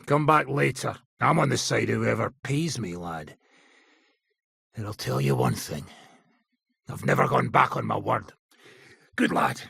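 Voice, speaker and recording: An actor playing a gruff, middle-aged, foul-mouthed mercenary nobleman in a video game, blunt and cocky, with a raspy, gravelly voice. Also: medium pitch, unhurried, pauses often, pushed and bright, no room sound, studio quality, full character voice. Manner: blunt, swaggering, earthy swearing. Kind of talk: video game character acting. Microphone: close, dry studio microphone.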